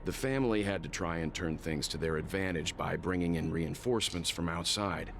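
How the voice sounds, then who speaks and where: A man voicing a grave, analytical older game character with a measured, deliberate delivery. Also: low-pitched, gravelly, a bit bright, a little hiss or hum. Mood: grave, thoughtful. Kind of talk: video game voice acting.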